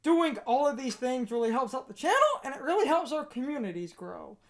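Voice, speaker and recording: A woman's voice: high voice, animated, a little fast. A woman hosting a gaming video, giving casual game commentary alongside a co-host.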